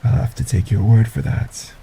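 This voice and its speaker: Man voicing a courteous sinister stranger, very deep, heavy vocal fry, breathy, formal, audio roleplay. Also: moderate pace, background noise. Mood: smooth, courteous. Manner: formal, courteous, softly menacing. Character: polite sinister male stranger, secretly a kidnapper.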